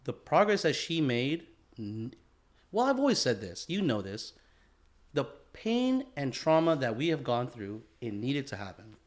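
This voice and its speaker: A man co-hosting a casual podcast chat, laid-back and quick to agree with lots of fillers. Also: medium pitch, huge pitch swings. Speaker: podcast co-host.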